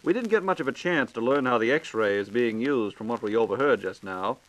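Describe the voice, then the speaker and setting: Folksy American radio host, dry sound. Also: male voice, medium pitch, lively intonation, fairly quick, dry recording, rough recording. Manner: folksy, inquisitive. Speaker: radio program host asking questions. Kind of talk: scripted 1940s radio dialogue. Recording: old 1940s radio recording.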